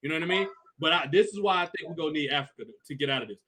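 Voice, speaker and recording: A man's voice: on the high side, rapid-fire, fairly bright tone. A man from Chicago hosting a casual livestream chat, talking bluntly and emphatically with a lot of profanity.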